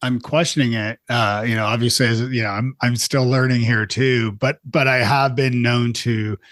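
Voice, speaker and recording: A man hosts a podcast, talking in a friendly, meandering way with plenty of fillers and self-corrections. His voice sits at an ordinary middle pitch. The sound is dry and close, with no room around it. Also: steady tone, normal speed, fairly bright tone, dry recording. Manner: friendly, rambling, self-deprecating, many fillers. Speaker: podcast host. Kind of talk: casual podcast interview.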